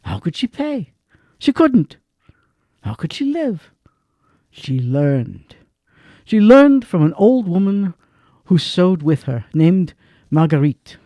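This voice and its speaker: A man narrating a radio drama in a dramatic, expressive way, swinging between hushed lines and stressed ones. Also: high voice, no room sound. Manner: slow and expressive, swings between hush and emphasis.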